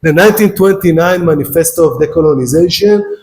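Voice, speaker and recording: A man with an Israeli accent lectures on philosophy in a somewhat high voice. He speaks English as a second language after Hebrew, often stumbling over and repeating words. He addresses the students directly. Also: a little fast, a little mellow. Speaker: guest lecturer. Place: lecture hall.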